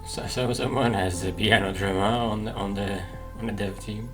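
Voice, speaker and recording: A man hosting a game playthrough, giving thoughtful commentary in a halting way, as if working it out aloud. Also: fairly low pitch, fairly even intonation, over music. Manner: halting, thinking aloud with long pauses. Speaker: gaming playthrough host.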